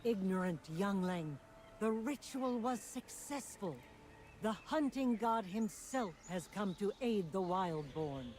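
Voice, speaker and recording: A solemn elder of a beastfolk tribe in a fantasy game. The delivery is grave, measured and slow. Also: mid-range voice, lively intonation, a little mellow, faint music behind. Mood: stern.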